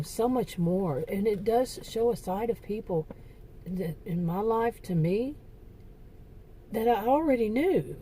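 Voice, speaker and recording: A middle-aged woman talking in a rambling, halting personal vlog, correcting herself often, her voice getting worn. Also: medium pitch, mellow, slight background noise. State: losing her voice. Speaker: vlogger. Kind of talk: casual personal vlog monologue.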